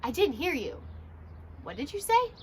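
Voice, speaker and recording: A woman voicing a sly, polite fox ninja in a storybook, gently feigning innocence. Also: high voice, very animated, moderate pace, background noise, pitched above the performer's own voice. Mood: sly, innocent. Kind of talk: children's storybook read-aloud.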